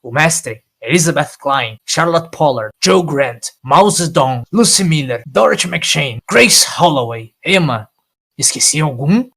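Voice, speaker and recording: A man voicing a furious old alien time traveler in an audio story, scolding with sarcastic, forceful questions. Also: fairly high pitch, lively intonation, unhurried, gravelly, heavily acted. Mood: accusing, indignant.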